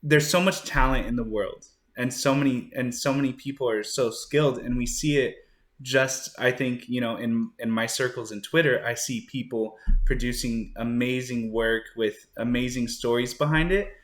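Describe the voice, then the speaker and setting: A man's voice: mid-range voice, fairly even intonation, moderate pace. Casual male podcast host, roomy sound. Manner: loose and conversational, many fillers and restarts.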